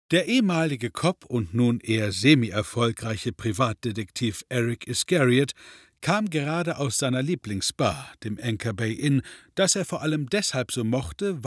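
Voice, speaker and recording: Wry storyteller, medium pitch, scripted comedic narration, measured irony, no room echo. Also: male voice, slightly rough. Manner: dry, ironic, measured. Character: wry omniscient male storyteller.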